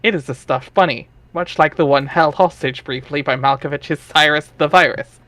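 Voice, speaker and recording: A man's voice: on the high side, normal speed, pushed and bright. A man narrating an amateur dramatic reading of a webcomic, wry and theatrical, with casual asides.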